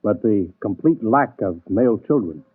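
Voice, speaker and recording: A sober government chief speaks in a lowish, husky voice. He is matter-of-fact and conversational, in 1950s radio drama style. The recording is dry and narrow-band, like a vintage broadcast. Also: expressive, moderate pace, no room sound. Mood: resigned.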